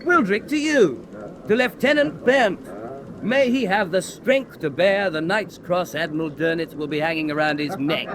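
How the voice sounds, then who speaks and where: Cocky boozy u-boat skipper in a radio drama, high voice, loud rumbly background. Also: male voice, expressive, normal speed, background noise. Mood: teasing, tipsy. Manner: jovial, teasing, theatrical. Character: boisterous, hard-drinking, cocky submarine commander.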